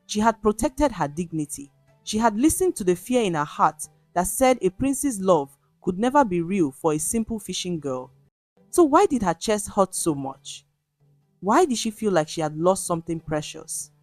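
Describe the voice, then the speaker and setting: A woman storyteller reading a scripted folktale in a dramatic, measured way. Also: medium pitch, big pitch swings, darkish tone, no echo, soft background music.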